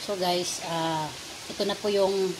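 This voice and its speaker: A woman's voice: on the low side, fairly even intonation, a little slow. Filipino woman vlogging over kitchen sizzle. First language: Tagalog. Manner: repetitive, addressing viewers often. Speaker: cooking vlog host. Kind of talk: casual chat to camera, mixing languages. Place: small tiled home kitchen. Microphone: phone at arm's length. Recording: sizzling, noisy kitchen phone recording. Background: frying and stirring noise.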